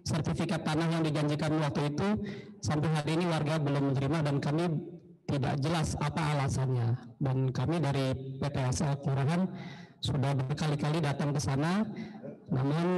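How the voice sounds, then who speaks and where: A man's voice: on the high side, a little mellow, low-quality recording. A man giving a polite, respectful spontaneous response as a community representative at a formal meeting.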